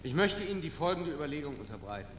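An actor in a radio play playing an obsessive, pedantic middle-aged academic giving a halting, fussy, emphatic lecture. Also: male voice, fairly high pitch, very animated. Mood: intense lecturing. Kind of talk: theatrical radio play monologue. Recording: reverberant lecture hall, faint noise.

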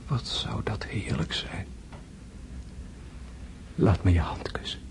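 An actor playing a gravely wounded prince in a radio drama, tender and philosophical, speaking in hushed, halting, weakened tones. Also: male voice, fairly low pitch. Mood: tender, yearning. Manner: hushed, halting, intense delivery, often whispering. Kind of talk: Dutch radio drama acting.

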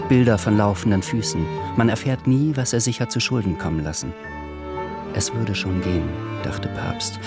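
A man narrating a literary radio drama in a measured, sober way. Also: fairly low pitch.

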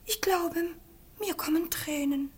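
An actress playing a cruel, imperious young princess with haughty, melodramatic declamation in a radio play. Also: female voice, extremely high pitch, slow, darkish tone. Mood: self-pitying despair. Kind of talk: theatrical radio play acting.

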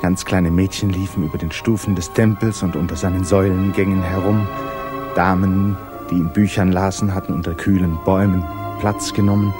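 An actor narrating a 1950s literary radio drama as a grave, intimate storyteller with measured, dramatic delivery. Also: male voice, deep voice, close to an everyday voice. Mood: measured, suspenseful. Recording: 1950s radio recording, music behind.

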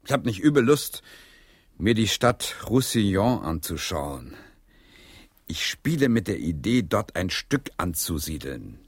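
An eager playwright and troupe leader in a radio drama. His delivery is theatrical and restless, with pitch that swings widely. The sound is dry and close, as he declaims to his company. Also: big pitch swings, a little slow, slightly rough, no echo, heavily acted. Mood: eager, scheming. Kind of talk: German radio play acting.

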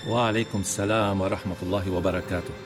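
A man's voice: low-pitched, steady tone, fast, over music, close to an everyday voice. An actor playing a withdrawn, troubled, bookish young man who speaks hesitantly in a radio drama. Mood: subdued. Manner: hesitant, reticent.

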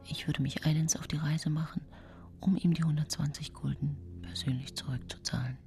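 An actress playing an anxious, secretive middle-aged woman poisoner, confiding in a hushed half-whisper in a radio play. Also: sits low, fairly even intonation, normal speed, faint music behind. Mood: secretive, calculating. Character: anxious, secretive 19th-century woman poisoner confessing her story. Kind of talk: dramatic radio play monologue.

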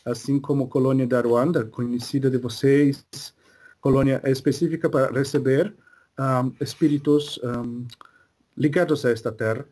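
A man speaking as a spirit guide in a religious session, slow and hesitant, often pausing to search for his words. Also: medium pitch, warm tone. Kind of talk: slow halting spiritual talk.

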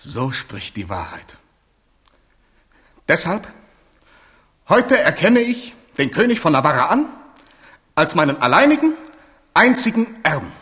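A man's voice: a little high, wildly swooping pitch, unhurried, pauses often, a bit bright, exaggerated voice. An actor in a German radio play playing a capricious, wounded French king, swinging from theatrical outbursts to plaintive murmurs. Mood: solemn.

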